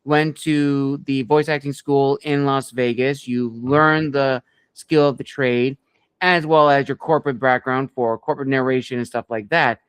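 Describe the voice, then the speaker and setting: A man's voice: mid-range voice, steady tone, a little slow, smooth, no room sound. A man hosting an interview show, speaking conversationally as he leads into questions for his guest.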